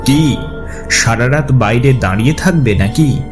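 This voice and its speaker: A man's voice: mid-range voice, lively intonation, measured pace, over music, close to an everyday voice. A man playing a genial ghost of an old college friend in an audio story, talking in a hearty, coaxing, familiar way. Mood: jovial. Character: tall male ghost of a college friend, genial, eager host. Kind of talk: dramatized audio story acting.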